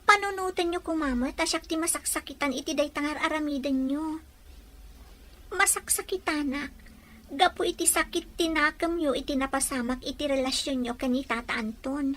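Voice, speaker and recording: Woman acting young female, Ilocano accent, high voice, earnest sweetheart and daughter, drama delivery. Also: normal speed, fairly bright tone. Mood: pleading, worried. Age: young adult. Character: earnest, pleading grown daughter, soon to marry.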